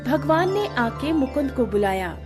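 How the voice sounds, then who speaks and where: A woman's voice: high-pitched, very animated, a little slow, over music. A woman reading a scripted story as its narrator.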